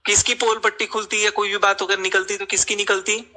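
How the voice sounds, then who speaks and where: High-voiced male, very sharp and forceful, confrontational debater on a group voice call, hammers questions repeatedly. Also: level tone, brisk. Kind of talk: heated argument in a group call. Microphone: recorded group voice call.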